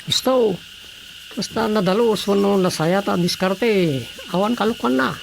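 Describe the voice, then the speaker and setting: A man's voice: sits high, a little slow, gravelly. An actor playing a village councilman in a radio drama, muttering to himself as he struggles to write. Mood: grumbling. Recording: cricket and insect night ambience.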